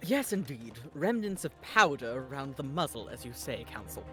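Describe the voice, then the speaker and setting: A man voicing a stern older male judge who hands down measured rulings. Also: medium pitch, big pitch swings, fairly bright tone. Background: game music.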